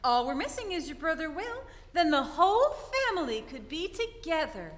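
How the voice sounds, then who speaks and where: A woman acts a fussing, sweet old grandmother in a vintage radio play. The voice is high and bright with lots of swing in its intonation. It carries through a reverberant hall. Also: big pitch swings, moderate pace. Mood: cheerful, fussing. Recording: reverberant hall, live stage recording.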